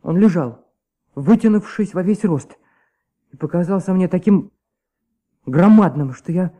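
High-voiced, hesitant schoolboy. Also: male voice, animated, measured pace, mellow. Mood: anxious, earnest. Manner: hesitant, stammering, repeating himself. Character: nervous young choirboy giving testimony, hesitant and earnest. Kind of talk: Soviet radio play acting.

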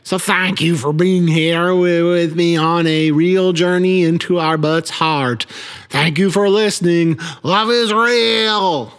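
High voiced guy podcast host, really clear tone, stutters and stumbles, sincere folksy talk. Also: fairly even intonation, fairly bright tone. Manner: halting, stuttering, earnest folksy phrasing. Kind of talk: earnest comic sign-off.

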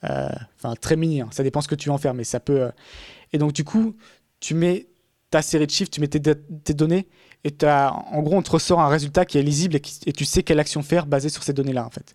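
A man's voice: on the high side, fairly even intonation, fast talker, darkish tone, no echo, studio quality. A man talking casually in a podcast conversation, rambling with many fillers and restarts.

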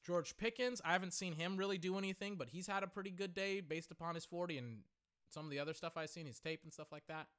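A young man hosting a podcast, reacting live while he watches, excitable and swearing freely, his voice going hoarse. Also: high-pitched. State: voice getting hoarse. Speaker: podcast host.

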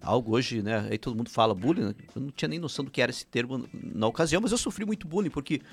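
A man's voice: mid-range voice, lively intonation, rapid-fire, slightly rough. Chatty man with Ukrainian roots. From Paraná, Brazil. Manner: rambling, frequent restarts and "né?" tags. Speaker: filmmaker guest. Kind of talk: casual interview chat.

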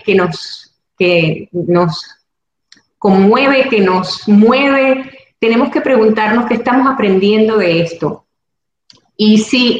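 A woman with a Venezuelan Spanish accent answers interview questions in an earnest, reflective way. Her voice rings around a strongly reverberant room with a faint hiss beneath it. Her pitch sits in an ordinary middle range. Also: highs cut off. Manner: earnest, thoughtful, grateful tone. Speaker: actress and producer, interview guest. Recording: very echoey room, faint background noise.

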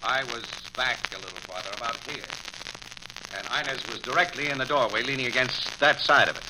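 An actor playing a tough, worldly American crime photographer in 1940s radio drama style. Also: male voice, medium pitch, brisk, natural voice. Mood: dry, thoughtful. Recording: noisy, crackly old-time radio recording.

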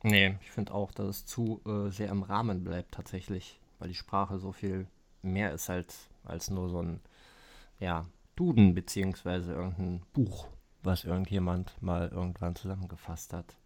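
A man hosting a casual podcast interview, relaxed and easygoing, often repeating "verstehe" and clearing his throat. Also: low voice, normal speed. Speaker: podcast host.

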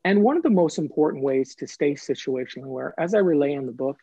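A man's voice: fairly high pitch, expressive, quick pace, warm tone, no room sound, muffled recording. A man speaking as an author being interviewed, explaining things in an earnest, emphatic way and repeating phrases for stress.

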